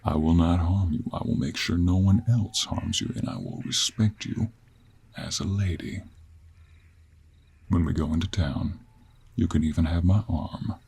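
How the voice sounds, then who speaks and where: Man playing a gravel-throated, low-voiced Western cowboy. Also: unhurried, darkish tone, exaggerated voice. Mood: earnest, gentle. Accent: American Western. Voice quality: gravelly, husky. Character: gruff, gentlemanly cowboy monster hunter, protective and teasing. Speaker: voice actor in audio roleplay. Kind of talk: intimate one-sided audio roleplay acting.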